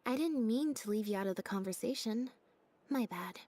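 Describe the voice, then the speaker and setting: An actress voicing a deadpan, understated young female magician's assistant with robot-like habits in a video game. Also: fairly high pitch, moderate pace. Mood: soft, matter-of-fact. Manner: deadpan, understated, matter-of-fact. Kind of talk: video game character dialogue. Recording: game audio with music behind. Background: background music.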